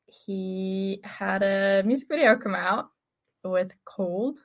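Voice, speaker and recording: A woman's voice: mid-range voice, takes it slowly, highs cut off. A woman in her twenties hosting a casual fan chat podcast. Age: 20s. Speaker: podcast host.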